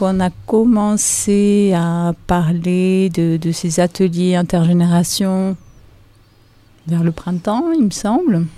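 A woman who teaches dance, answering interview questions slowly and hesitantly, with fillers. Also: lowish voice, dark tone.

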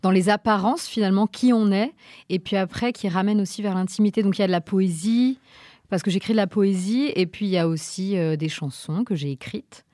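A French-Italian woman with a French accent, a stage performer explaining herself in a radio interview, with hesitant pauses. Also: mid-range voice, warm tone, no echo, clean studio sound. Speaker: guest, stage performer and singer. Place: radio studio.

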